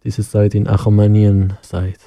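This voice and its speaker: A young man from Iran's region, speaking halting second-language German and laughing often as an interview guest. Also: fairly low pitch, completely flat delivery, vocal fry, dark tone, no room sound. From Iran, Kurdish west. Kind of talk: interview conversation.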